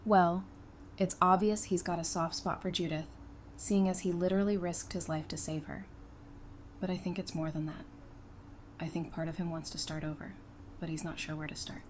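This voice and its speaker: Lowish-voiced, frank wife played by a woman, noisy background. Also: level tone, moderate pace, natural voice. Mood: thoughtful, frank. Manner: frank, conversational. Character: frank, level-headed pregnant wife and mother, supportive and teasing. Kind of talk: audio drama dialogue.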